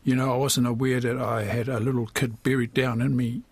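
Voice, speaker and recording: Older kiwi guy, husky voice, talking frankly in an interview, lots of you knows and ehs. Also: medium pitch, flat delivery, a little fast, no echo. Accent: New Zealand. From New Zealand. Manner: candid, colloquial, often adds "you know" and "eh". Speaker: playwright, interview guest. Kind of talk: reflective personal interview talk.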